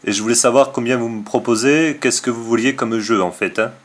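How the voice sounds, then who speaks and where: A man's voice: medium pitch, steady tone, fairly quick, natural voice. A man playing a broke, shady middle-aged father who sells off his son's games in a hesitant, wordy, confiding way on a prank call. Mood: flustered, polite. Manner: hesitant, wordy, falsely candid.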